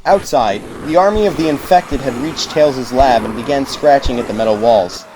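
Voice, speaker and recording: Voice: medium pitch, moderate pace, background noise. A narrator reading a scripted dramatic audiobook story.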